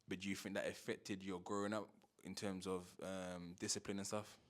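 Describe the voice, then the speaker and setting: A man's voice: deep voice, normal speed. A young man hosting a casual interview, asking questions with slang and the odd stammer. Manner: relaxed questioning with London slang and stutters. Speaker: interviewer and host.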